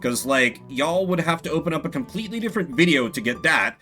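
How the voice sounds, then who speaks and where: Bright lively guy streaming a game, rambly casual chat, faint music behind him. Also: fairly high pitch, quick pace. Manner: rambling, self-interrupting. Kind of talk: casual livestream chat and commentary.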